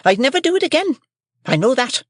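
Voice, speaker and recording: A shrill, scolding woman lashes out in a high, loud, nagging voice, as a male audiobook reader puts her on. The sound is dry and close, with no room around it. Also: very animated, fairly quick, no echo, full character voice. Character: shrill scolding sister, harsh tempered woman.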